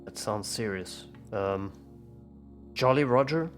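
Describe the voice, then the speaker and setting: A man's voice: fairly low pitch, animated, takes it slowly, faint music behind. A man talking casually as a player in a tabletop roleplaying game, describing his character's actions.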